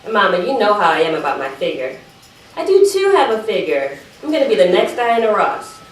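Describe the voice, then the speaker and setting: A woman's voice: medium pitch, big pitch swings, fairly quick, close to an everyday voice. A woman performing a dramatic monologue as an evasive teenage sister, chatty and apologetic as she pleads her case to her mother. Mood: animated, insistent. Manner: defensive, apologetic, chatty digressions. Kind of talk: dramatic monologue read aloud at a live event. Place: live event room, recorded from a distance. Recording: roomy, distant microphone, faint background noise.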